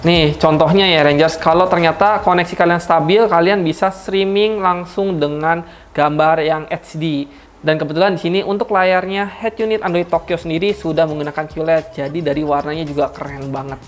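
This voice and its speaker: A woman's voice: sits low, fairly even intonation, moderate pace, soft background music. A woman giving a chatty, slangy product review, talking straight to her viewers. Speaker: car audio reviewer.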